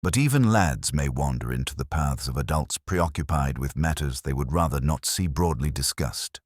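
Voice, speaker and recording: A narrator, a man, plays a shrewd police inspector in an audio mystery. He is patient and mild, very low in pitch, slightly husky, speaking with dry formality. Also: lively intonation, dry recording. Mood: mildly wry. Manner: measured, dry, formal understatement.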